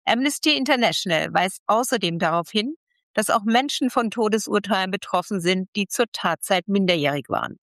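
A woman reporter co-hosting a news magazine, reading news and asking interview questions in a steady, informative way. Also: medium pitch, brisk, no echo. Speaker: radio reporter and co-host.

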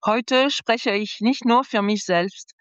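A woman reading the translation of an interview in the first person, calm, earnest and deliberate. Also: a little high, smooth, dry recording, muffled recording. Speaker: voice-over translator.

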